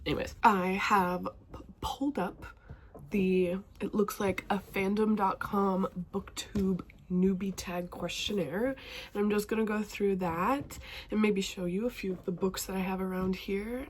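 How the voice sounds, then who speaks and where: A woman's voice: mid-range voice, steady tone, unhurried, slight background noise. A young woman vlogging casually about books, chatty and enthusiastic, her voice a bit stuffy from a cold. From northwestern Montana. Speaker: BookTube vlogger. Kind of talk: casual talking-to-camera vlog.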